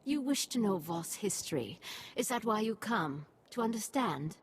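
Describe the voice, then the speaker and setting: An actress playing a cryptic, solemn alien guide in a video game, speaking in terse, aloof phrases with a husky voice. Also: female voice, medium pitch, big pitch swings, moderate pace, full character voice. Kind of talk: video game cutscene acting.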